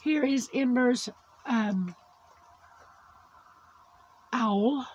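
A woman hosting a casual craft video, her voice worn from losing it after surgery, slowly chatting and cooing over small crafts. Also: a little high, darkish tone, slightly rough. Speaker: crafting channel host. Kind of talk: casual unboxing chat. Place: indoors at a tabletop craft setup.

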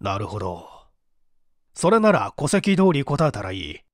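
An actor playing a composed male prosecutor who questions a suspect in a measured, formal and probing way, in a radio drama. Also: on the low side, wildly swooping pitch, no room sound, clean studio sound. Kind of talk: dramatized mystery reading.

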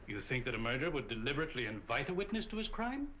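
An actor playing a suave, cold middle-aged murderer in a 1940 crime film, speaking in a clipped and controlled manner. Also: male voice, medium pitch, big pitch swings, very fast, a bit bright, lo-fi sound. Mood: guarded. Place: furnished apartment film set, indoors. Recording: band-limited, hissy 1940 film soundtrack.

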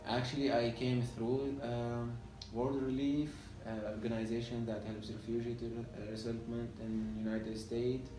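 A man's voice: mid-range voice, level tone, normal speed, hardly any pauses, dark tone, soft background music. A Syrian man in his twenties with an Arabic accent, speaking hesitant English with many fillers in a documentary interview, recorded in a library room. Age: 20s. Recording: roomy, distant sound with faint hiss.

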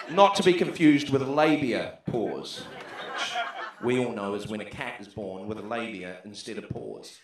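A man in his thirties with a New Zealand accent doing a brash, sweary stand-up set into a handheld mic, shouting his punchlines. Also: fairly high pitch. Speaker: stand-up comedian. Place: comedy club stage, brick wall. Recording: echoey club room.

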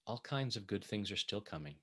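A man working as a tapping coach, leading guided affirmations in short, measured phrases meant to be repeated. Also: lowish voice, lively intonation, breathy edge.

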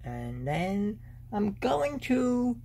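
A man's voice: sits high, very animated, very slow, gravelly, dark tone. A teenage boy filming himself at home on a phone, playfully announcing each step of making lunch in a mock-serious way. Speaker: vlogger. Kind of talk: playful step-by-step cooking vlog. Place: home kitchen and bedroom, indoors. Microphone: handheld phone camera.

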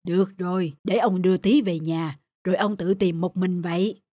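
A woman voicing a gentle, affectionate, patient old grandfather in a cartoon. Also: fairly low pitch, fairly even intonation, moderate pace, no echo, clean studio sound, close to an everyday voice. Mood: patient, caring. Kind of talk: cartoon voice acting. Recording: music playing behind the voice.

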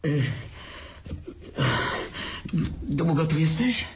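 An actor playing a bitter, morbid, hard-drinking brother in an audio drama, halting, repeating words and trailing off. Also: male voice, fairly high pitch, lively intonation, noisy background, close to an everyday voice. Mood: hesitant. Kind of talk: naturalistic audio drama acting.

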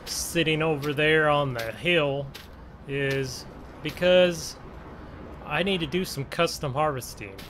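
Slow-talking man over engine noise. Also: a little high, fairly bright tone. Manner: halting, musing aloud to himself. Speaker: gaming channel host. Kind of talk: casual role-play gameplay commentary. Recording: clear engine and vehicle noise behind. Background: game vehicle engine sounds.

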